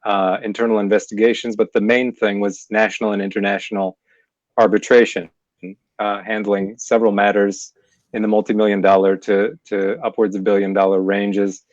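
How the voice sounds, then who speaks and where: A middle-aged man from Pennsylvania, born in Scotland, answering interview questions in a measured, articulate way with frequent fillers. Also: fairly low pitch, little pitch movement, a little mellow, no room sound. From born in Scotland, raised in Pennsylvania. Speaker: mediator and lawyer, interview guest. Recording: dull, dry lo-fi audio.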